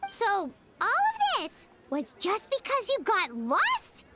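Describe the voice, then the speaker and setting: Game role: tiny, nosy fairy companion; very high, bouncy pitch. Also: female voice, wildly swooping pitch, slow pace, exaggerated voice. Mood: quizzical. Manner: refers to herself in the third person, quizzical. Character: tiny floating female fairy companion, chatty and excitable. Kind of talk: video game voice acting. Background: game background music.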